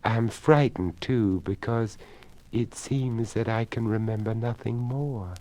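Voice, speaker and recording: Man voicing a soft, halting, pleading ghost girl. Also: mid-range voice, fairly even intonation, close to an everyday voice. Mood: distressed, pleading. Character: lost, frightened young ghost girl, polite and pleading.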